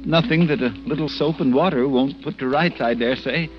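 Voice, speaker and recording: An actor playing a mild, polite, hesitant gentleman traveler in 1940s radio style. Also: mid-range voice, normal speed, natural voice. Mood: sheepish. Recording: muffled, noisy old radio broadcast.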